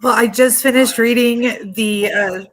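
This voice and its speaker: A woman's voice: fairly high pitch, steady tone, measured pace, a bit bright. A woman talking casually about books as a guest in a group chat. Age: adult. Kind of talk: casual book talk. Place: indoors on a group video call.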